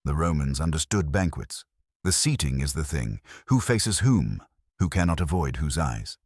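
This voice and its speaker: Smug male schemer for a mystery audiobook, read by a male narrator: pompous, precise, very deep, animated. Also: moderate pace, slightly rough, dry recording, studio quality, heavily acted. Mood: pompous, satisfied. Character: smug, theatrical male villain, pleasantly menacing schemer.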